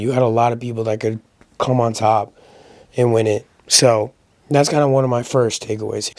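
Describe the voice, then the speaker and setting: A man hosting a casual solo video commentary, giving opinions conversationally, his voice a little raspy as he gets over a sickness. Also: medium pitch, a little mellow, no echo. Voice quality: slightly raspy. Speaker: host of a powerlifting recap channel.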